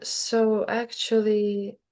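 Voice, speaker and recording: A woman's voice: medium pitch, flat delivery, extremely slow, a little mellow. A woman in her twenties with a Ukrainian accent, a native Ukrainian speaker talking as an interview guest in a thoughtful, hesitant way. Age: 20s. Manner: thoughtful, hesitant, many fillers and restarts. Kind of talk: casual interview chat.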